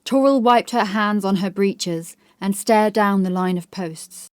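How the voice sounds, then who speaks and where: Female narrator for dark historical fiction. Mid-range voice, serious, measured scripted storytelling. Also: mid-range voice, no room sound, studio quality. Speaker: audiobook narrator.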